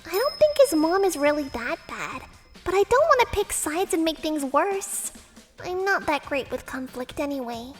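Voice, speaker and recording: A woman voicing an anxious young newlywed wife in a manga dub, expressive and easily flustered. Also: sits high, moderate pace, soft background music. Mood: narrating, mildly troubled. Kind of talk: manga dub first-person narration and dialogue.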